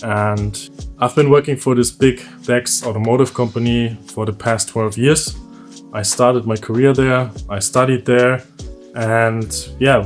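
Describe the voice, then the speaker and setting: A man in his thirties with a German accent, telling a candid personal story for his vlog in a relaxed, conversational way, often laughing as he goes. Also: mid-range voice. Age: 30s. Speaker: vlogger.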